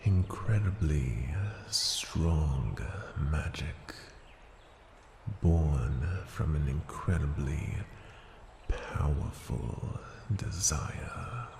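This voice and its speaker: An ancient, imperious dragon rumbles in a very low, gravelly register. The speech is formal, unhurried and intrigued, as in a fantasy ASMR roleplay. It rings through long cavernous reverb. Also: male voice, lively intonation, a little mellow, exaggerated voice. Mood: impressed, measured. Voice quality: very deep, gravelly, breathy at times. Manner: slow, formal, deliberate, imperious. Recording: cavernous long reverb, faint ambient noise.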